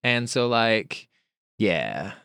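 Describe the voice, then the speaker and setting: A man's voice: on the low side, very animated, very slow, vocal fry, a bit bright, clean tone, dry recording, studio quality. A young man co-hosting a comedy podcast, talking casually and hesitantly, full of fillers and playing along. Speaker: podcast co-host.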